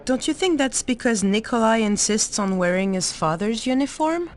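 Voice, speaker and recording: A woman's voice: fairly high pitch, animated, normal speed, natural voice. An actress playing a chatty, inquisitive woman guest at an embassy party in a video game. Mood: curious. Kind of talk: video game cutscene acting.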